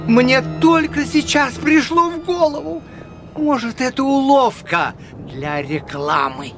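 An excitable gossip in an old radio play, his high voice leaping up and down as he chatters on. He piles up news eagerly, with music playing behind him. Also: slow, full character voice. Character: excitable male gossip spreading theatre news. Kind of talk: 1970s radio play acting.

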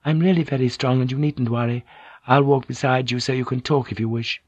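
A man voicing a composed, courteous Japanese noblewoman who translates for others, soft-spoken and polite. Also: medium pitch, steady tone, fairly quick, husky, dry recording, pitched above the performer's own voice, natural voice. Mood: gentle. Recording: dull, dry lo-fi recording.

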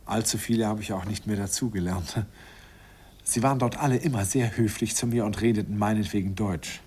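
A man's voice: on the low side, fairly quick, darkish tone, breathy edge. A man playing a nostalgic narrator recalling a youthful romance in a radio play, wistful, intimate and amused. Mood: wistful, tender.

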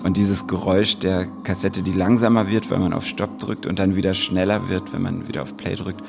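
A man's voice: sits low, level tone, brisk, vocal fry. A man speaking reflectively in an interview, in long, loosely strung thoughts. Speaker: interviewee, musician. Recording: music under the voice. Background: music.

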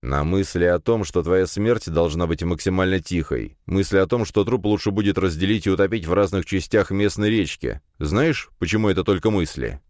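Audiobook role: middle-aged male clan head played by a man, low register, stern, curt, menacing, used to command. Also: moderate pace, few pauses, a little mellow, dry recording, clean studio sound, close to an everyday voice. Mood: cold menace. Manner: curt, commanding, coldly threatening.

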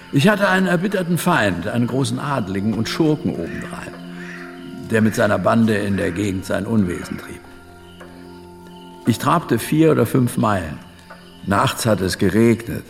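A man's voice: on the low side, gravelly, big-room sound, full character voice. An actor playing an older, reflective adventurer and butterfly collector in a radio drama, musing and telling his tale dramatically. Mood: wistful. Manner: musing, dramatic storytelling with pauses and interjections. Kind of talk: radio drama monologue, storytelling.

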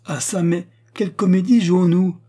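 A man's voice: mid-range voice, animated, brisk, dark tone, breathy edge, pitched above the performer's own voice. A man voicing a haughty, imperious queen in a storybook reading, scornful and cutting. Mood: stern, sarcastic. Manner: imperious, scornful, mocking rhetorical questions.

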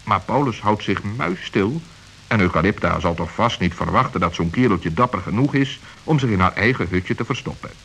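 Guy narrating a kids radio story, lowish voice, calm and steady. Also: normal speed, vocal fry, a little hiss or hum, poor audio. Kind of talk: scripted storybook narration for children.

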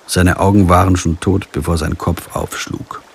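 A man's voice: low voice, steady tone, normal speed, creaky, slight background noise. An actor playing a wry, weary lawyer who narrates his own crime story in a radio drama. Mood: grim. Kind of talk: scripted first-person radio drama narration.

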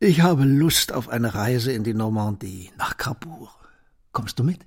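An actor playing a wise, gentle old shopkeeper in a radio play, speaking in calm, unhurried aphorisms, with a gravelly voice. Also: male voice, mid-range voice. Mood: serene. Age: older.

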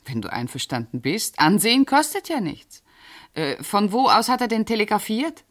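A woman's voice: mid-range voice, normal speed. An actress playing a sensible, dryly teasing middle-aged woman in a naturalistic radio drama. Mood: brisk, reassuring. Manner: dry, teasing, expressive. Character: wry, sensible middle-aged woman, loyal longtime friend.

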